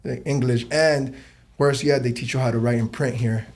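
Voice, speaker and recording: A man's voice: mid-range voice, fairly even intonation, normal speed, a little mellow. A man in his thirties hosting a podcast interview, chatty and casual, who swears freely. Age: 30s. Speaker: podcast host.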